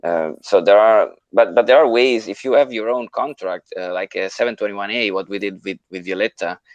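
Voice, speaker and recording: A man's voice: lowish voice, fairly quick, no room sound, lo-fi sound. A man chatting casually as co-host of an online talk show, explaining things step by step and giving encouraging advice. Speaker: co-host answering questions on a live audio show.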